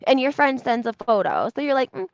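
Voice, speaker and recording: Woman doing gossipy recap chat, lots of vocal fry, dry close sound, kinda muffled, repeats stuff for emphasis. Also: high-pitched, big pitch swings, brisk, no echo. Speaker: YouTube host recapping reality TV. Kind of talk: casual gossip commentary, talking to live audience.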